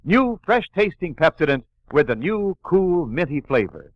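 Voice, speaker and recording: Male 1940s radio announcer, animated, fairly high voice, emphatic sales read, slightly muffled old recording. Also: measured pace. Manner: emphatic, polished sales delivery.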